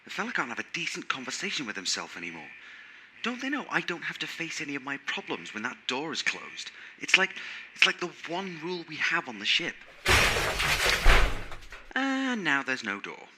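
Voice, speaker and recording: A man's voice: on the high side, huge pitch swings, normal speed, very noisy. A man playing a vain, sarcastic, rambling robot crewmate in an audio drama, insecure under the swagger. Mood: exasperated. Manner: theatrical, sarcastic, rambling monologue. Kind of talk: scripted audio drama monologue.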